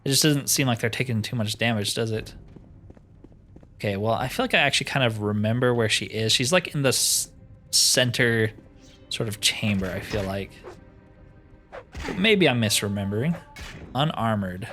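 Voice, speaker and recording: A man's voice: on the low side, moderate pace, long pauses, a bit bright. Man thinking aloud over game music. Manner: musing, talks to himself in questions. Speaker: host of a gaming roleplay series. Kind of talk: casual let's play commentary, thinking aloud. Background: music behind the voice.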